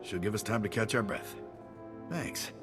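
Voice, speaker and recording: An actor playing a gruff, battle-weary general with a clipped, wry military delivery in a video game cutscene. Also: male voice, lowish voice, moderate pace, music in the background, lightly acted. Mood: relieved. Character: gruff, weary, wry male army general under siege.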